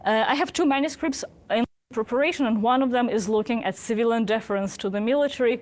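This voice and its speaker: A woman's voice: a little high, very fast, darkish tone. A woman from Ukraine with a Ukrainian accent, an academic researcher presenting her work and answering questions in a structured, articulate way.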